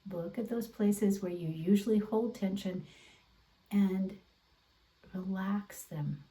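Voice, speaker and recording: A woman instructor leading a guided meditation in a gentle, deliberate, encouraging way over an online video class. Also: medium pitch, poor audio. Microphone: away from the mouth, video call.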